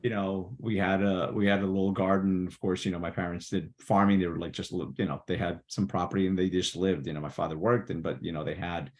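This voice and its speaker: Low-voiced Portuguese-born man telling his story. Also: little pitch movement, fast talker, hardly any pauses, a little mellow. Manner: rambling with many fillers and restarts. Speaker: podcast guest. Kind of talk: casual interview storytelling. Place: New Jersey.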